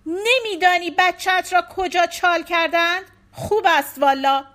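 A woman's voice: very high-pitched, very animated, voice pushed up. A woman voicing a distraught young wife grieving her stillborn baby, pleading and tearful. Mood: anguished, frantic. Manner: pleading, tearful, rising to cries.

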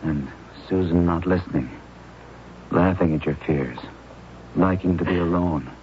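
An actor playing a grieving widower in a 1970s radio drama, speaking in an anguished, intense way. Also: male voice, low-pitched, a little slow, a little hiss or hum. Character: grieving widower, racked with guilt. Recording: muffled old radio broadcast.

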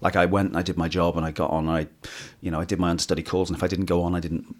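Male actor, interview guest, very low husky voice, meandering self-deprecating delivery. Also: flat delivery, rapid-fire. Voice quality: hoarse, husky when tired. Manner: rambling, restarts often, self-deprecating. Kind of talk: casual interview chat.

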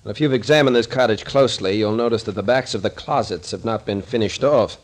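An actor playing a gruff, shrewd captain who takes charge, dry and commanding, in an old radio thriller. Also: male voice, fairly low pitch, fast talker. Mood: dry, explaining.

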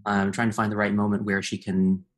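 A man talks as a guest in an easygoing conversation, his voice sitting a little low. He speaks thoughtfully, pausing and reaching for the right words as he goes. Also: completely flat delivery. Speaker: guest composer. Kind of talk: casual conversation.

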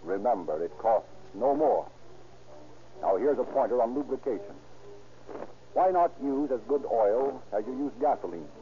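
A man's voice: medium pitch, animated, normal speed, pauses often. A man with an American accent delivering scripted 1930s radio announcing and narration. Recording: tinny, noisy vintage radio broadcast.

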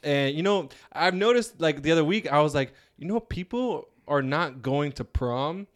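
A man's voice: on the high side, expressive, normal speed. A young man co-hosting a casual podcast, chatting loosely with lots of fillers and frequent laughs. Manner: laughs often, many fillers. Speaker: podcast co-host. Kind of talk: casual chat.

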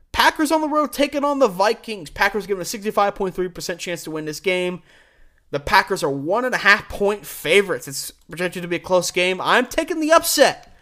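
A man's voice: high voice, bright, forceful tone. A man hosting a live sports show, giving opinionated, conversational commentary on game picks and punching key words.

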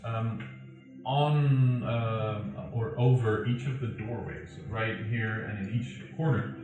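A man narrating a tabletop game as game master, pausing often with ums and uhs. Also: fairly low pitch, steady tone, dull audio. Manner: halting, many ums and uhs. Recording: echoey room, far from the mic.

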